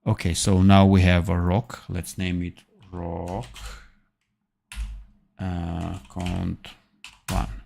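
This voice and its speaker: Slow, gravelly low-voiced man thinking aloud. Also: monotone, dark tone. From Armenia. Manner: thinking aloud, halting with fillers and self-corrections. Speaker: animation tutor. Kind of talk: live-streamed software tutorial.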